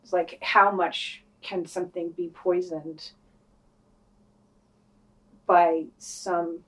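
A woman's voice: lowish voice, level tone, extremely slow, rough recording. A woman talking informally and with opinion as a panelist in a casual podcast discussion, swearing freely.

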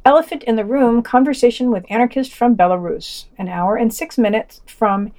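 A woman's voice: a little high, normal speed, mellow. Woman reading wry scripted podcast reviews. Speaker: podcast presenter reviewing audio and video. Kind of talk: scripted review narration with opinionated asides.

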